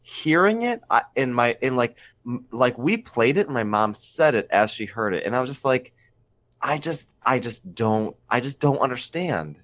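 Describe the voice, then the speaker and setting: A man co-hosting a podcast, chatting casually and animated, often restarting his sentences. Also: mid-range voice, moderate pace. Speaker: podcast co-host. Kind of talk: casual chat.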